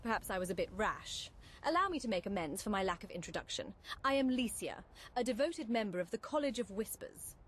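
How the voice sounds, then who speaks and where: A woman's voice: mid-range voice, animated, fairly quick, a little hiss or hum, natural voice. A woman voicing a formal, devoted mage in a fantasy video game, with courteous, archaic phrasing. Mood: formal, composed. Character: formal, devoted female mage of a secretive college. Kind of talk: scripted fantasy game dialogue.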